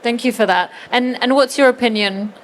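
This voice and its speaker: A woman's voice: a little high, moderate pace, a little hiss or hum. A woman moderating a conference panel, asking polite questions with brief affirmations. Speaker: debate moderator. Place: conference stage.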